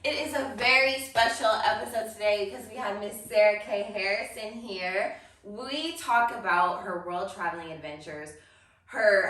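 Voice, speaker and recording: A woman's voice: medium pitch, bright, forceful tone. A woman hosting a podcast, interviewing casually with short, affirming replies. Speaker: podcast host. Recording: very echoey, distant mic, music behind.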